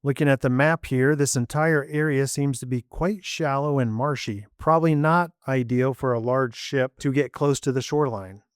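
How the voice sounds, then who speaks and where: A man's voice: mid-range voice, normal speed, hardly any pauses, smooth, no room sound, clean studio sound, close to an everyday voice. A man voicing a polite adult traveler who loves history, speaking courteously.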